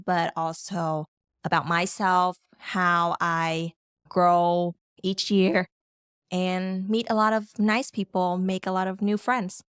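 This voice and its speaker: Smooth-voiced woman from Vietnam. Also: fairly low pitch, a bit bright, dry recording, clean studio sound. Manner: easygoing, laughing, lots of fillers. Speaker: podcast guest. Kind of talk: casual podcast chat.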